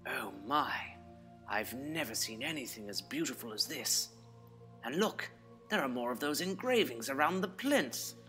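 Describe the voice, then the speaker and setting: A man playing a plucky, excitable adventurer with theatrical exclamations in a children's audio adventure. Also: medium pitch, measured pace, faint music behind. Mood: awed. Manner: theatrical, exclamatory, talks to himself.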